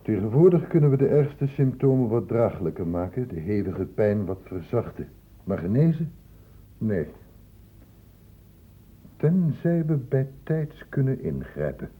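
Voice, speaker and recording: An actor playing a stern, insistent doctor in a radio play, blunt and urgent, in a lecturing way. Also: male voice, mid-range voice, expressive, unhurried, slightly rough. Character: stern, insistent male doctor questioning young people. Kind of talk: Dutch radio play acting. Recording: muffled old radio play recording.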